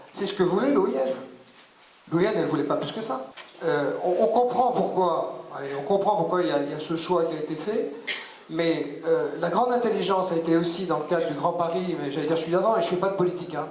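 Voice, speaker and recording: A man answering off the cuff as a local official at a public debate, halting and repeating words, with emphatic asides. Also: sits high, a little hiss or hum. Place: public meeting hall. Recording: echoey hall, faint background ambience.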